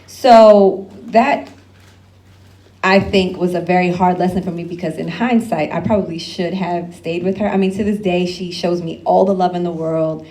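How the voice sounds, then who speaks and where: A woman's voice: fairly low pitch, steady tone, normal speed, warm tone. A woman speaking on an alumni panel, giving candid advice with loose asides and frequent laughs.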